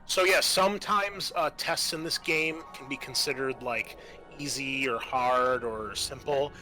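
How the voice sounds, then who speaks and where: A man narrating and refereeing a tabletop role-playing game as game master, explaining rules in a halting way. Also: mid-range voice, sharp tone, faint music behind, rough recording.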